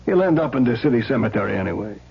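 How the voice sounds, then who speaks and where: An actor playing a wary, streetwise ex-crook mortician with a colloquial, grudging manner in a 1970s radio drama. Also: male voice, medium pitch, sing-song, racing, vocal fry. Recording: dull, humming, noisy old radio recording.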